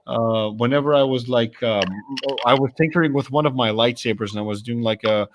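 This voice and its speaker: A young man with a deep voice chats casually on a stream. He rambles through stories in an animated way, full of fillers and restarts. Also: fairly quick, a little hiss or hum. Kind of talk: casual chat.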